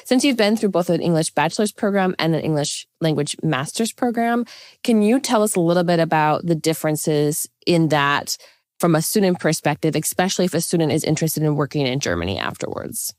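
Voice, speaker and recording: A woman with a notably low voice leads the interview. She recaps the guest's path and asks open questions. The sound is dry and close to the mic. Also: animated, a little fast, darkish tone, no echo. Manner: friendly, summarizing and prompting. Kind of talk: podcast interview.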